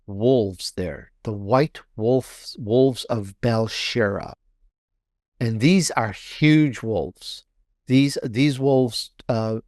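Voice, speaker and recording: A man's voice: medium pitch, a little mellow. A man with a mid-range voice speaks as a guest author in a casual interview. He feels his way through sentences, repeating phrases to underline them. The sound is dry and close, free of room echo. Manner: halting and repetitive, emphasizes by restating phrases. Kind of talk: casual promotional interview talk.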